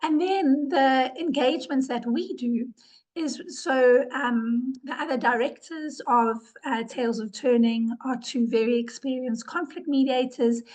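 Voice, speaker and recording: A woman's voice: high-pitched, darkish tone, low-quality recording. A woman who is ill and losing her voice, giving an earnest webinar presentation over a video call, repeating words for emphasis. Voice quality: hoarse. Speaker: webinar presenter, organization director. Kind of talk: presentation talk.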